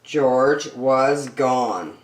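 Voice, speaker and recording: A woman hosting story time and reading a children's picture book aloud in a deliberate, expressive way for young listeners. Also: rumbling low. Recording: echoey room, distant microphone.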